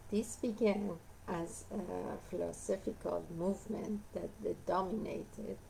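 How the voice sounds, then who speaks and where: Slow, gravelly, hoarse woman reading aloud. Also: lowish voice, mellow, a little hiss or hum, poor audio. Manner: halting reading with frequent uh fillers and self-corrections. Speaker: book reader. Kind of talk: reading a nonfiction book aloud.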